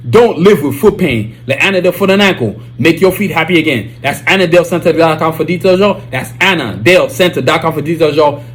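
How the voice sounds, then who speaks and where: A man's voice: on the high side, brisk, hardly any pauses. A man with a Nigerian accent hosting his own podcast, talking casually and reading sponsor plugs, with many repeated catchphrases. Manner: animated, repetitive, keeps saying "you know what I'm saying". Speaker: podcast host.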